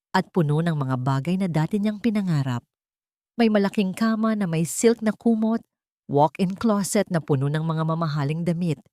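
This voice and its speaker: A woman narrating a scripted romance drama story in a steady, measured way. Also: fairly low pitch, dry recording, studio quality.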